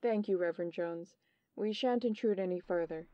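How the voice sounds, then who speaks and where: A woman playing a confident young detective in an old-time radio drama, polite, assured and deliberate. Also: lowish voice, highs cut off, lightly acted. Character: confident, courteous young amateur detective Ellery. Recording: noisy amateur radio play recording.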